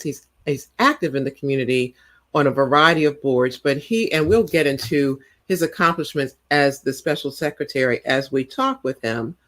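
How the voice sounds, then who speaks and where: A woman hosting a podcast, introducing and questioning her guest in casual chat with encouraging prompts and quick laughs. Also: low voice. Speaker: podcast host.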